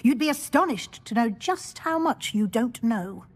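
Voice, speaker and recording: An actress voicing a stern older female professor of magic in a video game, speaking in a crisp, wry, authoritative way. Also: a little high, lively intonation, unhurried. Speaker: deputy headmistress.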